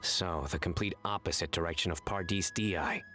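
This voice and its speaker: Composed, serious general, music behind. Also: male voice, low voice, normal speed, pushed and bright. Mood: composed, purposeful. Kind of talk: video game character voice acting.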